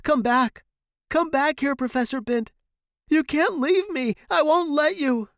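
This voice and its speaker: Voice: slow, a little mellow, no echo, studio quality. A storyteller voicing a devoted young male music student, earnest, emotional and imploring. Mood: frantic grief. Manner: earnest, imploring, high and distraught.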